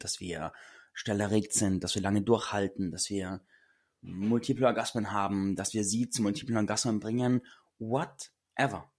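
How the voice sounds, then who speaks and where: A man hosting a podcast and speaking earnestly to listeners, a little hoarse today. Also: fairly low pitch, darkish tone. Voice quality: husky. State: a bit hoarse. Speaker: podcast host and coach. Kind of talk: solo podcast talk.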